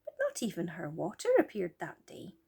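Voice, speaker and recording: A woman reading a children's story aloud as an expressive storyteller. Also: lowish voice, normal speed, gravelly, slightly rough.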